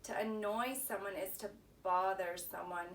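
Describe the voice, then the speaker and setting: A woman's voice: medium pitch, steady tone, normal speed, a bit bright. A woman teacher leading story time for young children, prompting them and repeating words for them to echo. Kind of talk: instructional talk to young children. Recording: distant mic with faint background noise.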